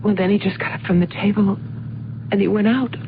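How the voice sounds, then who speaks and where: A woman's voice: mid-range voice, steady tone, brisk, natural voice. An actress plays an anxious, distraught wife in a vintage radio drama. She pleads earnestly and will not be put off. The recording is thin and boxy, with some noise beneath the voice. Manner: imploring, insistent, earnest. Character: distraught, pleading wife of a missing truck driver. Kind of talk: old-time radio drama acting. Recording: noisy, narrow-band old radio recording.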